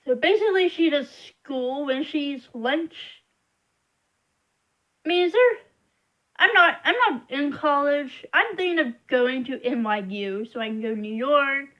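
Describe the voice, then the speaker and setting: High-pitched young woman venting slowly. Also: lively intonation, bright, forceful tone, low-quality recording. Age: young adult. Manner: frustrated, rambling, emphatic complaining. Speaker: vlogger. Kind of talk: casual venting monologue.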